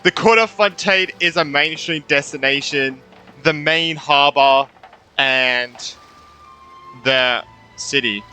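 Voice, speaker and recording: A male streamer with a very bright, slightly high voice. He reacts casually to a game, often trailing off and repeating himself, with faint music behind him. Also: slow. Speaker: gaming streamer. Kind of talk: casual live game commentary.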